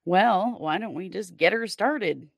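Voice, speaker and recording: Hoarse, gravelly-voiced woman host. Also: mid-range voice, lively intonation, a little slow. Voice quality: raspy. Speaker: podcast host. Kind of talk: casual podcast chat.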